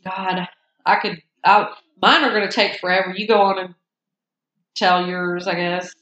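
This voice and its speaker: A woman's voice: fairly low pitch, steady tone, unhurried, a bit bright, reverberant, highs cut off. A congested woman co-hosting a podcast in casual chat, rambling and often cutting herself off. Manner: rambling, self-interrupting, laughs often. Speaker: podcast co-host.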